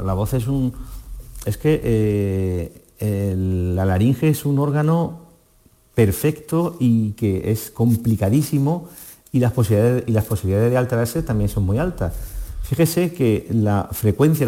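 A man with a dark, mellow voice speaks as a medical guest on the radio. He explains in a didactic way, repeating key phrases to drive them home. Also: fairly low pitch, expressive, a little slow. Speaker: doctor, voice specialist guest.

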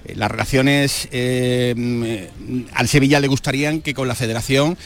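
A man's voice: medium pitch, steady tone, moderate pace. A man reporting live for radio from an outdoor square full of fans, chatty, with many filler sounds and restarts. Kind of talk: live on-location sports report.

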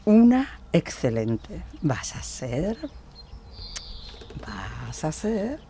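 An actress from playing an affectionate, knowing young wife in a radio play, tender and teasing. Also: female voice, sits low, very animated, very slow, mellow, slight background noise, exaggerated voice. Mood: teasing, loving.

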